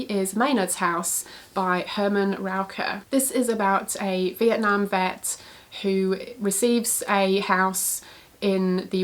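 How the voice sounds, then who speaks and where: Stuffy, husky woman with a cold. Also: mid-range voice. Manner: chatty, frequent "yeah" fillers. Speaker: book reviewer. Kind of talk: casual book recommendations.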